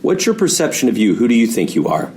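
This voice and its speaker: A man's voice: mid-range voice, very animated, fast, darkish tone, a little hiss or hum. A man hosting an interview in a conversational style, building up to his questions in long, discursive phrases. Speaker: interview host.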